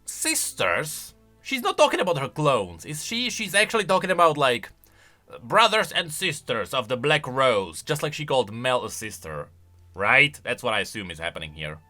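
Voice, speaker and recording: Bright, animated man doing game commentary. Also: mid-range voice, normal speed, soft background music. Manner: expressive, conversational, musing aloud. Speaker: video game lore commentator. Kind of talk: casual reaction commentary on game voice lines.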